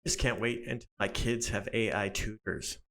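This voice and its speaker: A man hosting an interview, asking brisk questions and chatting in an upbeat, friendly way. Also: fairly low pitch, creaky, fairly bright tone. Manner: upbeat, quick rapport-building questions. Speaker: podcast host.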